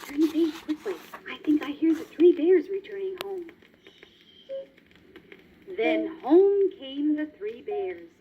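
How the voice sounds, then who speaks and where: A woman's voice: sits high, lively intonation, takes it slowly, pauses often, mellow. A woman narrating a scripted children's storybook in a 1950s record style. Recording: dull, crackly old vinyl record.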